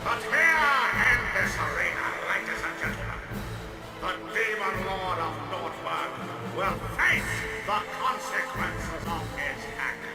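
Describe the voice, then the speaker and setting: Pompous imperial herald, booming, theatrical, lively pitch, distant echoey sound. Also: male voice, measured pace, loud music behind the voice, exaggerated voice. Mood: grandiose. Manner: theatrical, gloating, booming announcer. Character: pompous male imperial spokesman, showman. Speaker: arena announcer.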